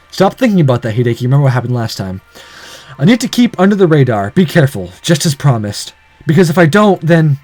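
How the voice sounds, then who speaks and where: A man's voice: mid-range voice, big pitch swings, normal speed, darkish tone, no echo, soft background music. A man playing a determined but self-doubting young man on a rescue in a visual novel, tense and earnest. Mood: tense, doubtful.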